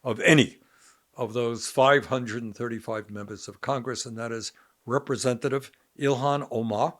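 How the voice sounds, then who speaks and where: An older man hosting a podcast interview, asking questions in a measured, deliberate way. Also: mid-range voice, fairly even intonation.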